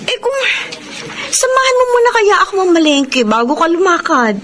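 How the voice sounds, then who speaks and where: An actress playing a worried, suspicious, nagging wife with a Filipino accent in a radio drama. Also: female voice, extremely high pitch, very animated, moderate pace, fairly bright tone, a little hiss or hum, heavily acted.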